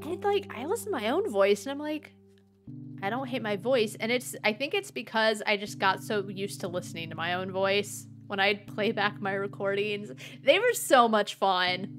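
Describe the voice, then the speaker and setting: A woman in her thirties streaming a game, with a dry, sometimes monotone-sounding voice, chatting and rambling. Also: mid-range voice, moderate pace, few pauses. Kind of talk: casual gameplay commentary. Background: faint game music.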